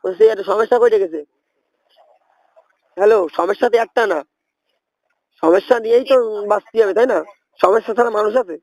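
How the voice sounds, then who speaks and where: A man with a high, gravelly voice and a rural Bangladeshi accent. He is making a prank call, wandering and repeating himself as if confused. The sound is close and dry. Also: darkish tone, no echo, low-quality recording. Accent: rural regional Bangladeshi. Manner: feigns confusion, repeats himself, haltingly evasive. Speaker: prank caller. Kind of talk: rambling prank phone call.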